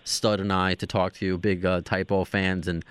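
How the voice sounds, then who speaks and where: A man's voice: low voice, level tone, normal speed, clean tone, no echo. Male podcast host from New York, talkative and playful, recorded dry and close. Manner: chatty, jokey, asks questions. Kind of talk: casual interview chat.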